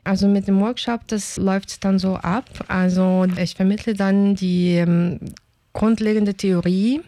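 A woman's voice: medium pitch, fairly even intonation, measured pace, warm tone, no echo. A middle-aged woman whose first language is Portuguese, speaking German with a Portuguese accent as a linguist explaining things earnestly in a radio interview, with small grammar slips.

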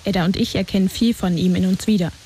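A young woman reporter reading a scripted radio feature in a calm, measured way. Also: mid-range voice, a little hiss or hum.

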